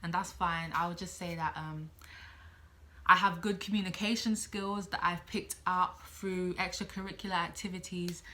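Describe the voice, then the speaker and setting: A woman in her late teens speaks in a bright, crisp-edged voice pitched a little low. She sounds like a student vlogger giving casual advice, chatting freely, thinking aloud and circling back over her points. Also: steady tone, moderate pace. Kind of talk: casual advice vlog, talking to camera.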